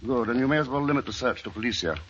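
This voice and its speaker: An actor playing a cool, terse, deadpan investigator in an old-time radio drama, on a muffled recording. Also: male voice, mid-range voice, very fast, a little hiss or hum, close to an everyday voice. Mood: cool, dry. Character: cool, unflappable male investigator hero. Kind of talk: 1940s-50s radio drama acting.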